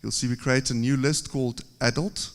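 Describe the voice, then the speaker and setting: A man with a South African accent gives a technical talk from a podium microphone. His pitch sits in an ordinary middle range, and the long reverb of a large conference hall trails behind each phrase. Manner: steady explanatory delivery, occasional filler pauses. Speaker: conference presenter.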